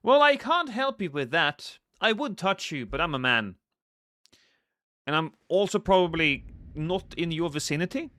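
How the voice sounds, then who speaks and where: A Norwegian man streams a game live, bantering playfully with his chat. His voice sits a little high and swings widely in pitch, jumping up when he shouts at the action. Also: big pitch swings, moderate pace, no echo, studio quality. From Norway. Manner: reacts loudly to in-game action.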